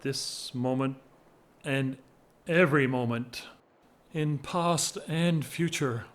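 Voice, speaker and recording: An actor playing a devoted, restrained gentleman in love, halting and formal, in a period audio drama. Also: medium pitch, lightly acted. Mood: solemn devotion.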